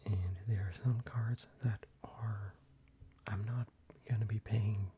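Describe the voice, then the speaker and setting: An ASMR creator whispering softly and closely, with frequent ums and restarts while showing their collection. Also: mid-range voice, fairly even intonation, slow pace, muffled recording, slight background noise.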